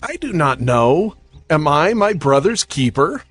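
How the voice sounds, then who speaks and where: A man's voice: fairly high pitch, big pitch swings, a little slow, a bit bright, husky, dry recording, soft background music, exaggerated voice. An actor playing a defiant, anguished guilty man, emotional and protesting, in dramatized scripture.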